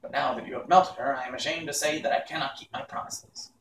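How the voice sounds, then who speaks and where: A man's voice: mid-range voice, steady tone, fast talker, fairly bright tone, echoey room, distant mic, a little hiss or hum, lightly acted, poor audio. A man voicing a little old humbug wizard, chatty and apologetic, in a storybook reading. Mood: confessional storytelling.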